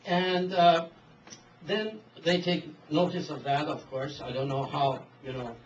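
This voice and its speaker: Halting man, distant and roomy. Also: a little high, fairly even intonation. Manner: halting, many fillers and restarts. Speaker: interviewer. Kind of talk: hesitant spoken question to a speaker. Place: event hall. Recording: distant mic, dull, roomy hall with hiss.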